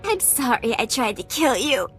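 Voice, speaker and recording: A woman's voice: a little high, big pitch swings, normal speed, fairly bright tone, faint music behind. A woman voicing a naive, melodramatic, lovelorn girlfriend in a video game, with a whiny way of talking. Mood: tearful, hurt.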